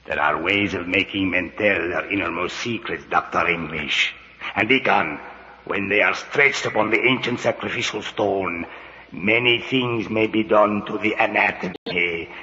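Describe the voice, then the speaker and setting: A menacing unseen jungle villain in vintage radio drama. He is very slow, formal and gloating, with a sharp low voice. A long reverb hangs over the dull old recording. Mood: gloating, cruel. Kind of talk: 1940s radio acting.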